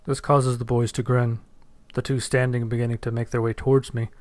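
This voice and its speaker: Man narrating horror, very even pitch. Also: fairly quick. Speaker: story narrator. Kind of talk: first-person scripted horror narration.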